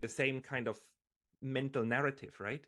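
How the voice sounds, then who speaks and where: A man's voice: medium pitch, steady tone, unhurried, pushed and bright. A man working as an interviewer, speaking in a conversational style.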